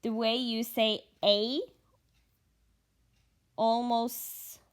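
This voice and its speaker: A woman from Norway with a Norwegian accent, chatting casually in a vlog, pausing and thinking aloud, a little sleepy. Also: a little high, flat delivery. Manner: halting, thinking aloud with fillers. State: just woken up, short on sleep. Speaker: stitching vlogger.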